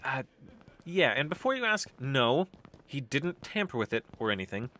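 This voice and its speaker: An excitable, self-satisfied biker crew member in an audio drama, played by an actor. The voice is forward and bright, with very mobile intonation. Some clear noise sits behind it. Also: medium pitch, normal speed. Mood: matter-of-fact. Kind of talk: animated audio drama acting.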